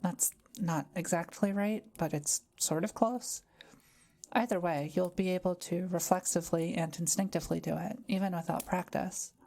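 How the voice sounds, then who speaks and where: Kind forest witch played by a woman for audio roleplay: scholarly, measured, lowish voice with a creaky edge. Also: fairly even intonation. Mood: calm, explanatory. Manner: gentle, measured, explanatory. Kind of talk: intimate audio roleplay, conversational.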